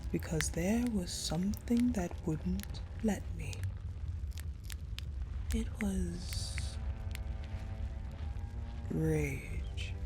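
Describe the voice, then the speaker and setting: A woman playing a wounded, vengeful ice-powered general in a dramatic audio roleplay monologue, halting and breathy, often slipping toward a whisper. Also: fairly low pitch. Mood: haunted, cold rage.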